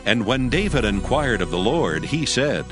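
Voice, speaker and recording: A male narrator reads scripture for an audio Bible in a mid-pitched voice. The delivery is measured and solemn, with music clearly playing behind. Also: big pitch swings.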